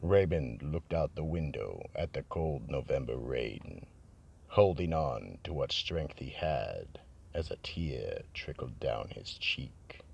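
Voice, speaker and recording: A man playing the grave narrator of a radio mystery drama, telling the tale in a measured, solemn way with a gravelly, husky voice. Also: very deep voice, animated, heavily acted.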